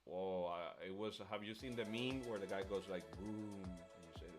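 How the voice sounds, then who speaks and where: A man's voice: low voice, normal speed. A man chatting casually and giving strong opinions as host of a live stream, emphatic and often repeating himself. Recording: music under the voice. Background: music.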